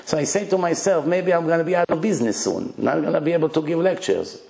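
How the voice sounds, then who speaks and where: A man's voice: on the high side, fast talker, creaky, darkish tone, roomy sound. A man with an Israeli accent, Hebrew his first language, giving a blunt, emphatic religious lecture in short punchy phrases and rhetorical questions. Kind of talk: lively religious lecture to an audience.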